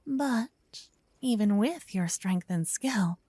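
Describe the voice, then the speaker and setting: A woman playing an earnest, bashful young female paladin in an affectionate fantasy ASMR roleplay, speaking softly and gently to the listener. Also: medium pitch, expressive, no echo. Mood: friendly, curious. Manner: soft, breathy, gently flustered, slightly halting.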